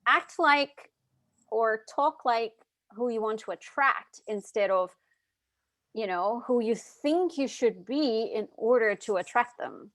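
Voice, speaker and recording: A woman's voice: fairly high pitch, expressive, takes it slowly, a bit bright, dry recording. A woman in her thirties, a guest in an interview, giving chatty advice with lots of fillers. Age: 30s. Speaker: guest, dietitian and hypnotherapist. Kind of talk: casual interview chat.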